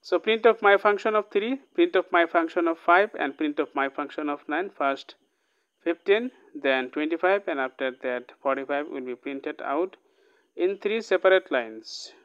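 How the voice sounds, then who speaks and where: A man's voice: fairly high pitch, moderate pace, clean tone, muffled recording. A man teaching a programming lesson, reading code aloud line by line and often checking in with "okay?". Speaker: programming tutor. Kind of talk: casual screen-recorded coding lesson.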